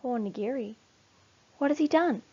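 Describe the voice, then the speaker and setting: A woman reading a children's storybook aloud expressively, with deliberate emphasis. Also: high voice, expressive, a little mellow, slightly rough, dull audio. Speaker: storybook reader.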